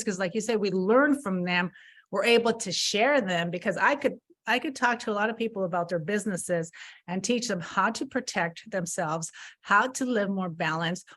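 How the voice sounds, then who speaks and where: A woman's voice: on the high side, fairly bright tone. A woman in her fifties, a podcast guest, talking candidly about her past mistakes and often repeating words as she restarts. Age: 50s. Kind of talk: candid conversational interview.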